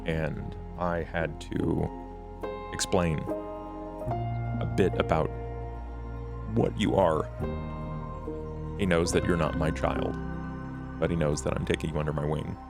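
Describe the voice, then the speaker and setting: A man playing a formal, careful vampire mentor who speaks in halting, measured phrases with a gravelly voice. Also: a bass voice, fairly even intonation, music in the background, full character voice. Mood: earnest, reassuring.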